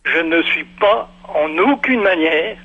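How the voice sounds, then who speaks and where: Husky haughty male radio caller. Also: a little high, unhurried, phone-quality sound. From Europe. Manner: haughty, lecturing, unapologetic. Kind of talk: phone-in radio debate.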